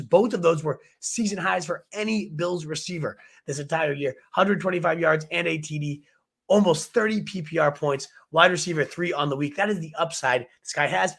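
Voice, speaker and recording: A man hosts a fantasy football livestream, his voice a little hoarse from a cold. He talks with excited, rapid-fire hype and sits a touch above the usual pitch for a man. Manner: excited, fast-talking hype. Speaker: fantasy football show host. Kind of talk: energetic livestream sports advice.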